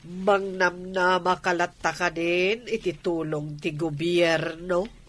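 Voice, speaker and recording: A woman's voice: on the low side, steady tone, slow pace. A woman playing a nosy, ambitious middle-aged mother in a radio drama, with an Ilocano accent. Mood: prying.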